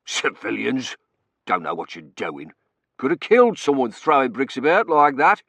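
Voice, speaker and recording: A man's voice: medium pitch, wildly swooping pitch, normal speed, gravelly, a bit bright, husky, no echo, voice pushed up, heavily acted. A man voicing a stout, stern, pompous air-raid warden in an audiobook, barking officiously. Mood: indignant.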